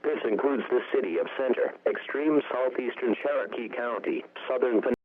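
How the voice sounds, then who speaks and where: A man reading a formal weather warning broadcast in a flat, methodical way. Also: medium pitch, moderate pace. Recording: lo-fi noisy weather radio broadcast.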